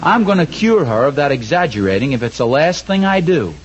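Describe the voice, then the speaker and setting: Fussy exasperated husband in 40s radio sitcom, highish swoopy voice, muffled old recording. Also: male voice, big pitch swings, brisk. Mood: plaintive, resolute. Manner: earnest, fussy explaining. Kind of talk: 1940s radio comedy acting. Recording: dull vintage radio recording.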